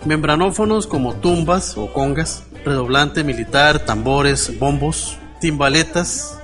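A man presenting on the radio, reading informative scripted narration in a measured, formal way. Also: medium pitch, a bit bright. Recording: music under the voice. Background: background music.

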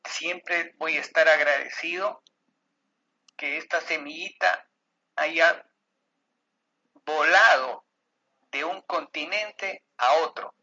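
A man speaks as a radio interview guest in a measured, appreciative, conversational way. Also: on the high side, sharp tone. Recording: dull lo-fi remote audio.

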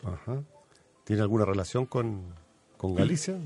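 A man's voice: lowish voice, animated, moderate pace, warm tone, slight background noise. A man with a Chilean accent hosting a live radio programme in a casual, conversational interview style. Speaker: radio host.

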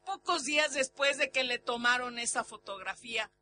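A woman's voice: on the high side, moderate pace, sharp tone, faint music behind. An actress playing an anguished, fearful old Mexican grandmother in a melodramatic horror radio drama. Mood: grave, fearful.

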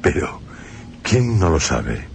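A man with a very low voice hosts a radio drama program, speaking slowly in a measured, theatrical way with long pauses. Clear noise runs under this old broadcast recording. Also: sing-song.

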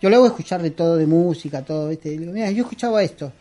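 A man's voice: fairly high pitch, very fast, warm tone, soft background music. A middle-aged man from Peru with a Peruvian Spanish accent, a guest chatting in a casual interview, rambling with many fillers and restarts. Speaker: guest, costume and prop maker.

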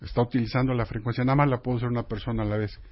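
Air traffic controller, husky voice, calm patient explaining. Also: male voice, medium pitch, racing, highs cut off. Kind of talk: explaining in an interview.

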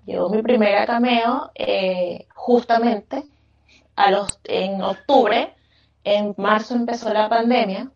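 A Venezuelan woman answering interview questions conversationally, with an effusive, emphatic way of talking. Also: mid-range voice. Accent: Venezuelan Spanish. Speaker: podcast guest, craft business owner. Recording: echoey, distant, muffled microphone.

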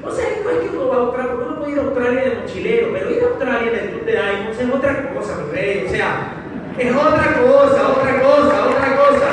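A man's voice: very high voice, fairly bright tone. A man with a Venezuelan accent giving an emphatic motivational speech to a live audience, repeating phrases for effect. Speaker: motivational speaker. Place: large hall with audience, crowd noise behind. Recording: echoey hall, distant mic, noisy crowd.

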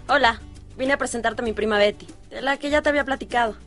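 A woman's voice: a little high, fast, a bit bright, faint music behind. An actress playing a chatty, gossipy teenage girl with a Mexican accent, confiding colloquially in a radio soap. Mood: eager. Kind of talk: radio soap opera acting.

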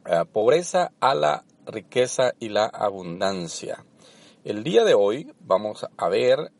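A man's voice: medium pitch, gravelly, a little mellow. Salvadoran man hosting a motivational podcast. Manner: earnest, coaching, with many fillers. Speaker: podcast host.

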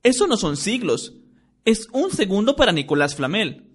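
High lively voice, insistent know-it-all schoolgirl, storybook reading, done by a man. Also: moderate pace. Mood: dismissive. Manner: insistent, lecturing. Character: bossy studious schoolgirl, anxious know-it-all.

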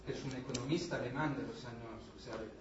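Young Italian-accented man, non-native speaker searching for words; far off in a booming hall with clear background noise. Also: steady tone, fast. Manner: searching for words, repeats himself. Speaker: audience member, doctoral student. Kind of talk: question-time comment at a public talk. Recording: very echoey hall recording from far off, noisy, dull.

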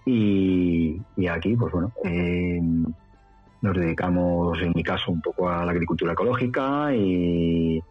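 Low husky Spanish man, dull lo-fi sound. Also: unhurried. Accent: central Spanish. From Madrid, Spain. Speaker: interview guest, rural mountain dweller. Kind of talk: casual conversational storytelling. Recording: dull lo-fi audio, faint music.

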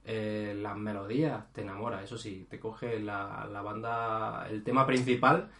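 A man's voice: fairly low pitch, steady tone, measured pace, fairly bright tone. Rambling man in an echoey room. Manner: rambling, hesitant, many fillers. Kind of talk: casual gaming chat.

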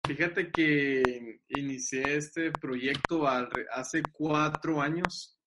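A man, chatting as a guest on a video call, enthusiastic and quick to laugh, often repeating his words. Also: fairly high pitch, fairly even intonation. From Mexico. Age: 20s. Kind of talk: casual video-call chat. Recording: loud background hiss on a video call.

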